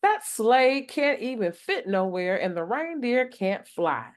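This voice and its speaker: A woman's voice: fairly high pitch, sing-song, slow pace, pitched above the performer's own voice. A woman voicing a skeptical, taunting schoolchild in a picture book, with a blunt, challenging manner. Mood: scoffing.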